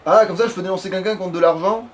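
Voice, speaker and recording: A man with a fairly high voice commentates his video game casually. He swears and grumbles at the game in crude, familiar banter, over a noisy home recording. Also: fast. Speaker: let's play gamer.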